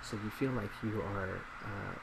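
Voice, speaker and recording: Male, twenties, ASMR psychologist role play: heavy vocal fry, reflective delivery, loud fan noise. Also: lowish voice, a little slow. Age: 20s. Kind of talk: soft-spoken ASMR role play, reading responses and reflecting. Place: small indoor office at a desk. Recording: steady loud laptop fan hiss.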